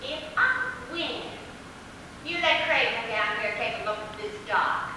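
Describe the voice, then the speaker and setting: A woman's voice: a little high, sing-song, unhurried. A woman playing a spirited, stubborn country wife in a stage play, with folksy, plain-spoken speech. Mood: bargaining. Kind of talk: stage play acting, projected. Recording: echoey hall from far off, faint noise.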